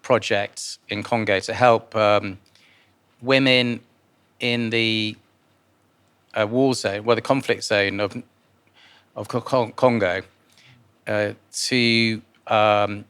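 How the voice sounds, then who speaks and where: A man born in Guyana answers interview questions informally, in a halting way with repeated words. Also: fairly low pitch, steady tone, a bit bright, clear, resonant tone. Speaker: interviewee, philanthropist and investor.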